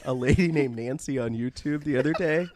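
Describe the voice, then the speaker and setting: Wry podcast co-host, medium pitch. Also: brisk, a little mellow, a little hiss or hum. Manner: wry, joking. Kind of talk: casual group banter.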